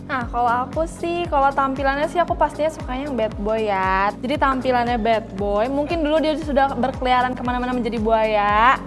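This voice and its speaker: A woman's voice: high-pitched, fairly quick, over music. A woman in her early twenties, a student, answering playfully on a dating show. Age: 20s. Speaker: contestant, student.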